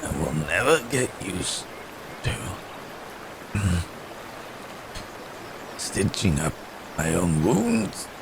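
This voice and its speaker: A man playing a wounded, courteous werewolf in an intimate ASMR roleplay, halting and strained with pain, with old-fashioned polite phrasing. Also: sits low, wildly swooping pitch, vocal fry, background noise, heavily acted. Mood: pained, straining. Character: wounded, weary, courteous male werewolf hunted by men.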